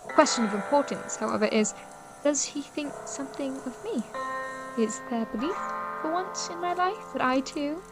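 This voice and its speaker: A woman acts out a young lady in a period romance audio drama, polite and quietly yearning. Her voice is on the high side, with formal, expressive phrasing. Music plays clearly behind her. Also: normal speed, rough recording. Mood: wondering, yearning.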